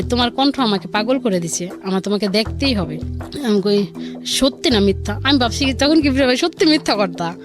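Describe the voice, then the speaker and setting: A woman with a Bangladeshi accent telling a personal story conversationally, quoting dialogue back and forth in colloquial speech. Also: mid-range voice. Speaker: caller or guest telling her life story. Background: music.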